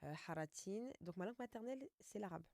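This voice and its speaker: A woman's voice: mid-range voice, vocal fry. A woman in her thirties, a life coach, telling her story in a chatty, joking interview. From France. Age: 30s. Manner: chatty, joking, quick and animated. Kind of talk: casual conversational interview.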